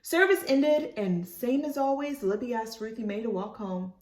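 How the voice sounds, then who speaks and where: A woman reads a children's storybook aloud expressively, stopping for animated asides to her young listeners. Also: on the high side, normal speed, echoey room. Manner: animated, addresses listeners as friends.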